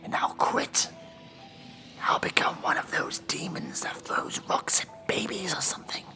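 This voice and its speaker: A man voicing an ancient, weary demon judge of hell in a theatrical, breathy whisper for an ASMR role-play. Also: unhurried, bright, forceful tone, soft background music. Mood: weary, pleading. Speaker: performer of a horror role-play.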